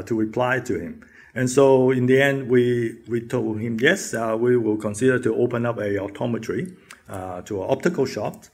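A man presenting his mission work, speaking earnestly with many pauses and fillers. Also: medium pitch.

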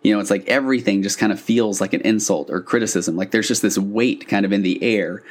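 A man's voice: on the low side, a little fast. A man hosting a casual solo podcast as a couples therapist, talking in an earnest, conversational, slightly rambling way with self-corrections.

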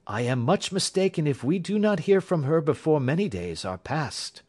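A man reading an audiobook, voicing a sharp, aloof male detective in a crisp, assured and clipped manner. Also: fairly high pitch, sing-song, moderate pace, pitched above the performer's own voice. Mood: grave.

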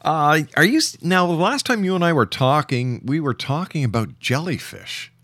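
A man's voice: a little high, lively intonation, moderate pace, a bit bright, no echo. A man hosting a radio interview show, introducing things in a formal announcer-like manner.

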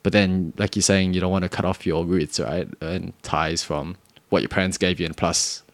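Young aussie guy, really low voice, dry close sound, rambles with lots of ums. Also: fairly even intonation, normal speed, no room sound. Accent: Australian. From Australia. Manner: rambling, loose, lots of fillers. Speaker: podcast co-host. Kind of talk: casual chat.

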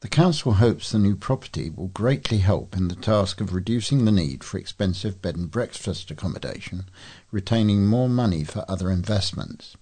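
A man's voice: low voice, animated, moderate pace, warm tone, husky. A man reading local news aloud from a script as a volunteer newsreader.

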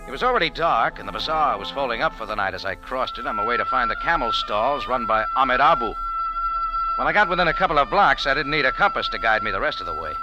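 A man's voice: medium pitch, natural voice, poor audio. Man playing a wry, tough American adventurer, swinging intonation. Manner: wry, clipped, world-weary. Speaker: lead actor and narrator. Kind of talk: 1940s hardboiled radio drama narration.

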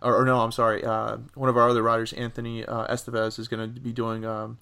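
Man, halting casual podcast host. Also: mid-range voice, completely flat delivery, vocal fry, sharp tone, clear, resonant tone. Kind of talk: casual entertainment news discussion.

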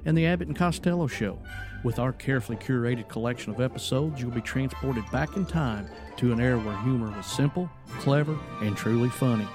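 A man reading a scripted promotional introduction as a podcast announcer. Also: medium pitch.

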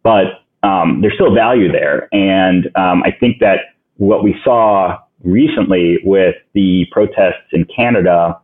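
A man from Ukraine whose first language is Russian, a podcast guest answering in a halting way that thinks aloud with many restarts, heard over a phone-line recording. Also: low voice. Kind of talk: casual interview answers. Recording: tinny phone-line sound.

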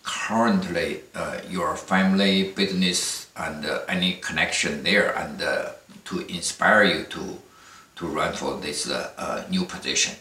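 Male podcast host, deep bright-edged voice, halting non-native English, conversational questioning, roomy distant noisy recording. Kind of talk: conversational interviewing. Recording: roomy, distant mic with noise.

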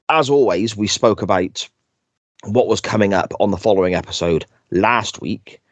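A man hosting a podcast in casual chat, croaky from a sore throat, rambling and correcting himself as he goes. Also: fairly low pitch, darkish tone, dry recording. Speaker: podcast host.

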